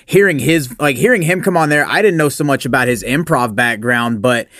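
A man's voice: medium pitch, a little fast, fairly bright tone, no echo, studio quality. A man hosting a casual interview in an agreeable, conversational way. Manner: agreeable, frequent short affirmations. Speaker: podcast host, interviewer.

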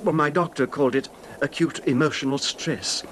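An actor playing a fragile, nervous, genteel husband with a hesitant, mannered delivery in a radio drama. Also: male voice, fairly high pitch, fairly even intonation, normal speed, fairly bright tone, close to an everyday voice. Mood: brooding, genteel. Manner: hesitant, stammering, mannered, formal. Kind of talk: old time radio drama acting. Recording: noisy old radio drama recording.

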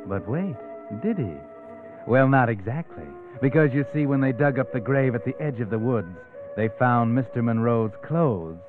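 Guy doing a mysterious sardonic american crime storyteller, old radio play, thin tinny audio over clear music. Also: mid-range voice, lightly acted. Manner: knowing, teasing, dramatic. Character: mysterious, sardonic male narrator who knows dark secrets. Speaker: storytelling narrator of a crime drama. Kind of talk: 1940s radio drama narration. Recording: tinny band-limited 1940s radio recording, music.

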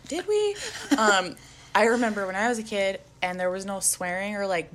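A woman in her thirties co-hosting a podcast, talking loudly in a casual, swearing, animated way. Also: medium pitch, normal speed. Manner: loud, swearing, animated storyteller. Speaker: podcast co-host. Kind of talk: casual chat.

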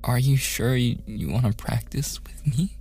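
A man playing a shy, awkward young man in a boyfriend ASMR roleplay, softly stammering and often slipping into whispers. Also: mid-range voice, unhurried, breathy edge. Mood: startled, flustered. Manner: hesitant, stammering, self-deprecating joking.

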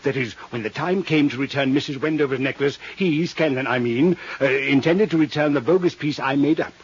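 An actor playing a genteel, vain, scheming male villain in 1950s radio drama, speaking in florid, courtly phrases with little hesitant pauses. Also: mid-range voice, lightly acted. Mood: vain, gloating. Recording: husky, dull 1950s radio recording.

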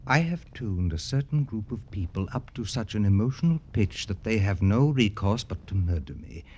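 An actor in an old-time radio drama playing an arrogant, jaded, wealthy satirist who talks in florid, affected, theatrical phrases. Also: male voice, fairly low pitch, big pitch swings, moderate pace, darkish tone, breathy edge. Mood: gloating, taunting.

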